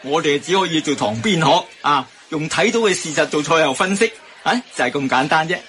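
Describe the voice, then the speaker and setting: A man playing a cynical, easygoing racing fan from a Hong Kong radio comedy, trading colloquial banter. The voice is slightly high and sharply bright, with faint noise behind it. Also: expressive, a little fast. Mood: worldly. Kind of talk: Cantonese radio drama banter.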